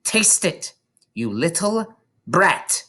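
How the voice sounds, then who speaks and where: A menacing storybook headmistress, a bullying woman who booms out commands. Her pitch jumps high and swoops widely, sliding into crafty, sugary persuasion that is plainly false. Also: very animated, very slow, husky, heavily acted. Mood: bullying, impatient. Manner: booming, commanding, mock-gentle wheedling.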